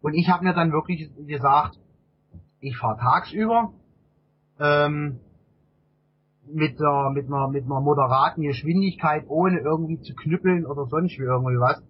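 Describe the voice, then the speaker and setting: A middle-aged man with a husky voice answers casually as an interview guest, often repeating words while he searches for phrasing. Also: fairly high pitch, normal speed, slight background noise. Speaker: interview guest, amateur endurance cyclist. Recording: dull, lo-fi interview audio.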